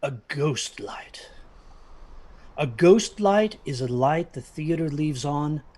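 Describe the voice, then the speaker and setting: Man acting husky, slow, uneasy father. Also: fairly high pitch, animated, darkish tone. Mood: secretive, haunted. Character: uneasy parent warning children about haunted theaters. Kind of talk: audio drama acting.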